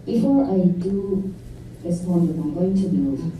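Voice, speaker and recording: A woman poet giving a measured, deliberate poetry reading at a public talk in a lecture hall. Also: on the low side, expressive, warm tone, a little hiss or hum. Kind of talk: slow recited poetry reading. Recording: echoey hall, distant mic.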